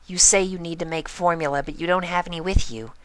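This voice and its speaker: A woman voicing a bubbly, excitable young female pony with gushing, emphatic, childlike talk in a story reading. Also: fairly low pitch, fast talker, no echo, pitched above the performer's own voice, natural voice. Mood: deadpan.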